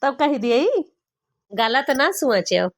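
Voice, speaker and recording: A woman's voice: high-pitched, very animated, slow, full character voice. A woman voicing a young village daughter-in-law with an Awadhi accent, complaining dramatically and colloquially in an amateur cartoon comedy. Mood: shy, hesitant. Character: exasperated, embarrassed young village daughter-in-law, gossipy and scolding.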